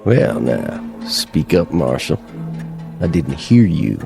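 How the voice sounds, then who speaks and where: Very deep, gravelly western saloon tough. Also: male voice, very animated, slow, heavily acted. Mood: taunting. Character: intimidating Old West saloon tough, taunting. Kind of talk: radio drama acting. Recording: clear music behind the voice.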